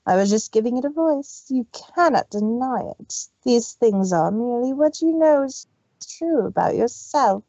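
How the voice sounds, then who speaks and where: A woman's voice: a little high, animated, normal speed, dark tone, dry recording, dull audio. A woman voicing a gloating villainous female pony who taunts her victim. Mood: taunting. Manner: taunting, smug. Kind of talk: fan fiction reading.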